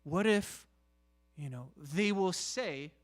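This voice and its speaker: Slow-paced male preacher, higher-pitched voice. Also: lively intonation. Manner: halting, with repeated "you know" and rhetorical questions. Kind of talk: conversational sermon.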